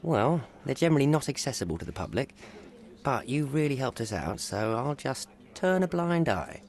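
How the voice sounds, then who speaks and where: An actor playing a talkative, wry police officer stuck on desk duty in a video game. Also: male voice, mid-range voice, sing-song, normal speed, darkish tone.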